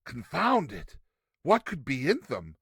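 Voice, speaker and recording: Male narrator, storybook reading, theatrical and expressive, lively swings in pitch, dry close sound. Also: husky, no echo. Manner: theatrical, expressive, deliberate delivery. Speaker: narrator, reader.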